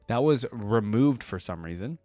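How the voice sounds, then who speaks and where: A man's voice: lowish voice, animated, normal speed, dark tone, no room sound, faint music behind. Chatty young man, dry close sound. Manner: chatty, rambling, emphatic. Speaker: YouTube reaction host. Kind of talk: casual reaction commentary.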